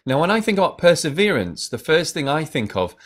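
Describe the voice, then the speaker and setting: A man's voice: on the high side, very animated, brisk. A man in his thirties preaching an all-age sermon in an engaging, direct way, putting questions to his listeners. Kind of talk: all-age sermon, addressing kids and adults. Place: small plain indoor room.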